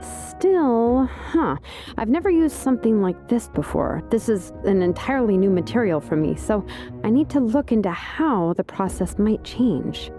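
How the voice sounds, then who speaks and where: An actress playing an earnest, dutiful craftswoman in a video game, sincere, thoughtful and unhurried. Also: fairly high pitch, sing-song, warm tone, faint music behind. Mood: pondering. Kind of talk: video game character acting.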